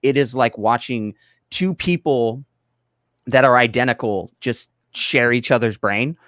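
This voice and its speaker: A man with a mid-pitched voice explains things in a casual, conversational way. He is emphatic and rambles, interrupting himself to restart. The recording is dry and free of room sound. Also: a little slow, a bit bright, dry recording. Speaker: project collaborator, guest.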